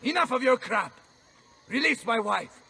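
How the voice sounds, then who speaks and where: An actor playing a blustering, hot-tempered Italian mercenary general in a video game, with an Italian accent and a bombastic, theatrical manner. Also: male voice, extremely high pitch, expressive, a little slow, creaky, sharp tone, slightly rough, recorded from a distance, full character voice. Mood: furious, defiant. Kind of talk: dramatic video game acting.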